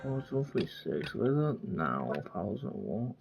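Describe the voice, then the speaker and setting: Halting male gamer over game music. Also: lowish voice, big pitch swings, creaky, muffled recording. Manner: halting, stumbles when reading aloud. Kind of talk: casual read-aloud and chat while playing a video game.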